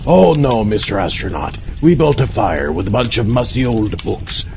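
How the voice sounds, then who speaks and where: A man playing a gruff, jolly, greedy pirate captain in a comedy audio drama, talking in rolling pirate speech. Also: lowish voice, big pitch swings, normal speed, highs cut off, background noise. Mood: blustery, jovial. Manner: pirate talk, rolling and blustery.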